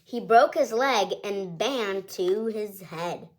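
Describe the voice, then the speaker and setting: A woman's voice: medium pitch, slow pace. A woman hosting her own channel, reading a picture book aloud to children in a cheerful, chatty, singsong storytelling way.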